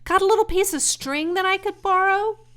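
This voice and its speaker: Woman voicing an eager, high-pitched little boastful pig. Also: normal speed. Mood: eager, pleading. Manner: excitable, exclaiming, naive. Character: eager, boastful, chubby young male pig.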